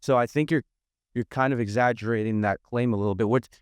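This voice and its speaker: A man's voice: medium pitch, fast, clean tone, no room sound, studio quality, close to an everyday voice. A man putting on the role of a brash, dismissive young male drinker who brushes off warnings. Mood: defiant.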